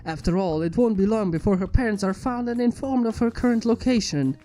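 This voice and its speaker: Lady doing a bookish pony princess, earnest, formal and curious, soft mellow tone, bit of music under it. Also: medium pitch, animated, brisk, close to an everyday voice. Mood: concerned, curious. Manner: precise, formal, inquisitive. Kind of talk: fan fiction character reading. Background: background music.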